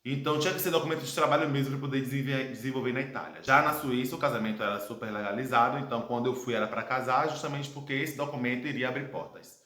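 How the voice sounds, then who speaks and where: A man in his thirties from Brazil with a Brazilian Portuguese accent, recounting his life abroad as a personal testimony, earnest and reflective, with dramatic pauses. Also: medium pitch, sharp tone. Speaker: vlogger telling his life story. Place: his home, indoor room. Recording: echoey room.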